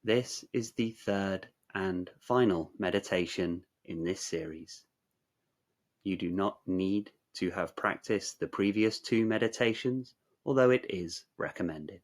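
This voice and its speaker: A man narrating a guided meditation in a measured, soothing, instructional way, leaving long pauses. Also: sits low.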